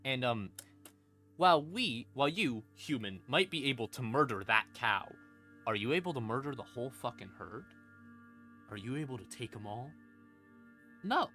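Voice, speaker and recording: A man's voice: mid-range voice, animated, moderate pace, long pauses, pushed and bright. A man explaining lore on a stream in a casual, unscripted, irreverent way, swearing freely. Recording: background music under voice. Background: ambient music.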